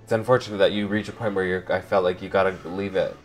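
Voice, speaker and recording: A man's voice: low-pitched, fairly even intonation, fast, soft background music. A man telling things informally in an interview, in an anecdotal, conversational way. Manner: informal, acts out quoted remarks. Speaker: interviewee in a documentary.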